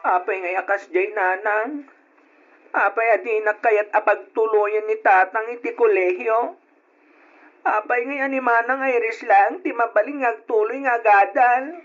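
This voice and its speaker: An earnest, effeminate teenage boy in an old radio drama. He sounds wounded and plaintive, pleading softly with his parents. The recording is muffled and lo-fi. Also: sits high, unhurried. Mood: hurt, plaintive. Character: hurt, earnest effeminate teenage son longing for college.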